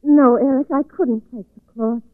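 A timid, frightened young girl played by a woman, very high in pitch. It is 1940s radio acting, heard dry through a thin, phone-like recording. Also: fairly even intonation, normal speed, dry recording, close to an everyday voice. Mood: nervous, reluctant.

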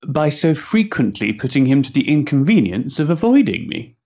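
An actor playing a courteous, wry Victorian gentleman in a period stage drama, speaking formally and politely. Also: a little high, sing-song, normal speed, muffled recording. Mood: polite, pointed.

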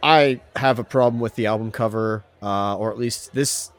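A man's voice: lowish voice, moderate pace. A man co-hosting a casual music discussion podcast, ranting with strong opinions and mild stand-in swear words. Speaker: podcast co-host.

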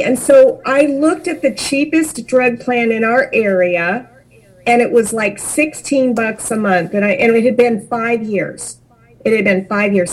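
A woman who works as a financial planner, a guest in an interview, telling her story with animated asides. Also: on the high side, poor audio. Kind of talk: conversational interview.

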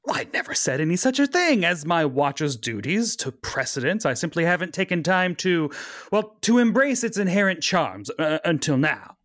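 A performer puts on a flustered, bookish middle-aged man at a table read. He is formal and fussy, stammering through phrases, with wide swings of pitch in a close, dry recording. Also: big pitch swings, moderate pace, a bit bright, dry recording. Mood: formal, awkward. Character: flustered, bookish middle-aged male mentor being awkwardly festive.

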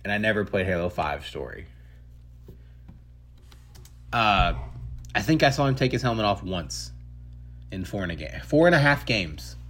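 A man hosting a casual pop-culture podcast, talking in an excitable, emphatic, slangy way. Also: on the low side, moderate pace, pauses often. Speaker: podcast host. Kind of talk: casual chat about movies and games.

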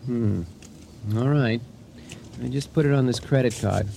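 An actor in a radio drama playing an anxious husband who tries to stay rational and reassuring. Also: male voice, mid-range voice, unhurried, vocal fry, dark tone. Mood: uneasy, sheepish. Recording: slightly noisy 1980 radio drama recording.

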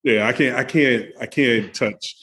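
A man guest on a video call, relaxed and telling stories with many fillers. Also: mid-range voice, a little slow, husky. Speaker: guest, camper.

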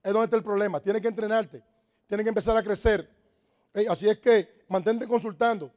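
A man's voice: sits high, fairly even intonation, brisk, darkish tone. A man from the Republic, giving an emphatic motivational business talk to a live audience, repeating his key points. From Dominican Republic. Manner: emphatic, repetitive, preaching-like exhortation. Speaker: network marketing motivational speaker.

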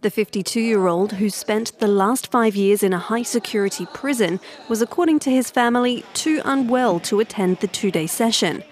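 A woman's voice: medium pitch, moderate pace, few pauses, a little hiss or hum. A woman news reporter reading a scripted broadcast report.

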